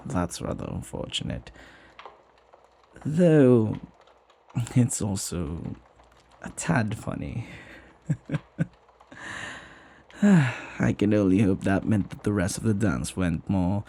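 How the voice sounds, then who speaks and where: Man acting a husky, flirty butler. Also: lowish voice, dark tone, heavily acted. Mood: teasing, amused. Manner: formal, genteel phrasing with teasing familiarity and sighs. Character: flirty, gossipy, devoted butler, jealously protective of master. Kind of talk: intimate ASMR roleplay acting.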